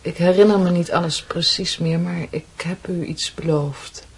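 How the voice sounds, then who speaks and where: An actress playing a fragile, anxious young niece in a radio drama, careful and breathy in her speech. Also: lowish voice, steady tone, moderate pace, a little mellow, rough recording. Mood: polite, uneasy.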